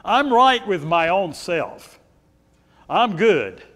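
Middle-aged male pastor, loud carrying voice, high and lively delivery, long church reverb. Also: slow pace. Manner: animated preaching, pacing and gesturing, rhetorical questions. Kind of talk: sermon. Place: church sanctuary, indoors.

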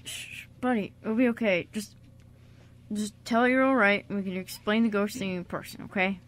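A woman's voice: medium pitch, fairly even intonation, natural voice. Woman playing easygoing guy, slightly noisy recording. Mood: reassuring. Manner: halting, chuckling, soft-hearted. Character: Quinn, easygoing, bashful man with a shady past. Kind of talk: amateur radio drama acting. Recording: noticeable background noise.

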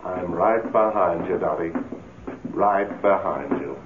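An actor playing the amorous, coaxing ghost of a philandering husband in a radio drama, pleading and smooth-talking. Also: male voice, low-pitched, slow. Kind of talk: old radio drama acting. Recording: tinny, hissy old radio recording.

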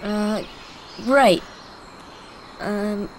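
A man's voice: sits high, steady tone, extremely slow, slight background noise, poor audio. An actor playing an earnest, friendly young teenage boy adventurer in a game, hesitant, with an American accent. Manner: hesitant, puzzled. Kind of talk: video game cutscene acting.

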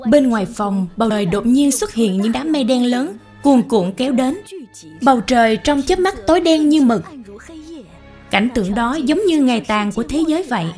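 A woman narrates a scripted tale. Her voice sits on the high side. Gentle background music runs beneath the narration. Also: expressive, normal speed. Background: soft music.

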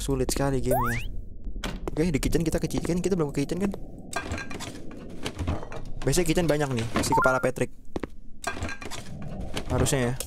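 A man's voice: mid-range voice, very noisy. A young man gives casual horror game commentary, muttering repeatedly in a panicky way and mixing slang with English swearing. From Indonesia. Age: young adult. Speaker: gaming video host. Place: small room indoors.

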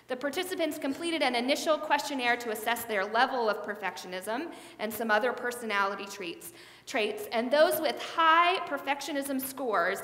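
A middle-aged woman pastor preaches in a relaxed, conversational way, with a slightly high voice. She wears a headset mic in a big church sanctuary. Her words ring out with a long, roomy echo. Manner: conversational preaching with hand gestures. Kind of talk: sermon. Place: large church sanctuary, indoors. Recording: echoey hall with long reverb.

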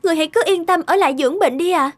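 A woman's voice: extremely high pitch, very fast. A woman voicing a brave, earnest young princess in a fairy-tale cartoon, speaking in a formal, resolute way.

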